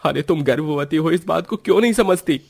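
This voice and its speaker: A man's voice: fairly high pitch, a little fast, vocal fry, darkish tone, breathy edge, dry recording, studio quality, pitched above the performer's own voice. A man playing an irritable, worrying young village husband in a cartoon story, argumentative and exasperated. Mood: angry, worried. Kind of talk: Hindi cartoon story dialogue.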